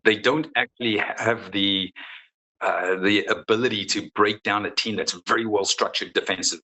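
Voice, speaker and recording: Bright-voiced man, fairly low. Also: fairly even intonation, normal speed, low-quality recording. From South Africa. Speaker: football coach, interview guest. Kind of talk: casual sports interview chat.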